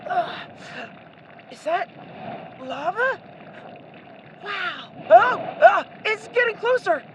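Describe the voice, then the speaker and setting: A woman voicing an excitable, timid young boy adventurer in an audio drama, with a boyish, exclamatory delivery. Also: very high voice, drawn-out words, very noisy, heavily acted. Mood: frightened. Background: music.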